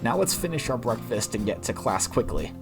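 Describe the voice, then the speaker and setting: A man's voice: medium pitch, sing-song, fairly quick, vocal fry, music in the background. Man reading as a mild, wry male pony. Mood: cheerful. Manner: wry, sighing, chuckling. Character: mild, amused male pony, gently chiding friend.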